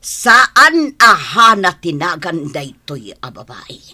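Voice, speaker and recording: A woman's voice: on the low side, very animated, takes it slowly, pushed and bright, exaggerated voice. A woman with a Filipino accent playing a middle-aged mother in a radio drama, affectionate with her son and fierce when outraged, speaking emphatically. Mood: outraged, scornful. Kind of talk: Ilocano radio drama acting.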